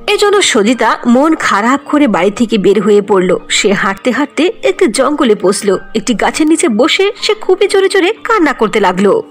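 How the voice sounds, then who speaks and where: A woman's voice: on the high side, very animated, moderate pace, soft background music. A woman narrating a scripted cartoon fairy tale as the storyteller.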